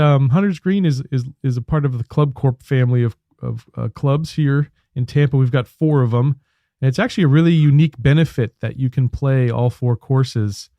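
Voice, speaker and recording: A man hosting a radio interview show, chatting casually and warmly with his guest, with fillers and restarts. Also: medium pitch, no echo, highs cut off.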